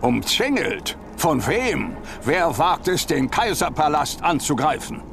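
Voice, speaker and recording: Proud emperor: gravelly, swooping, slow and imperious, noisy game ambience. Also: male voice, a little high, big pitch swings, heavily acted. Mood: indignant. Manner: imperious, dramatic. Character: proud, indignant male emperor. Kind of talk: fantasy game voice acting.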